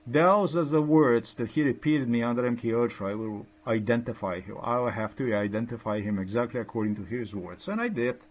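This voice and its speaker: A middle-aged man who learned English as a second language talks at his webcam in a small room. He stumbles over his words, repeats himself and fills gaps with "uh". His voice sits at an ordinary pitch for a man. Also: brisk, hardly any pauses, poor audio. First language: not English. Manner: hesitant, many fillers and restarts. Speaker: vlogger. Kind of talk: rambling screen-share commentary. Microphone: webcam at desk.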